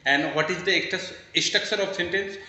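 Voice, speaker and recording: A man's voice: high-pitched, moderate pace, sharp tone, heavy reverb, a little hiss or hum. A man speaking English as a learner in a casual practice conversation. He talks earnestly and gives advice, breaking off and restarting now and then.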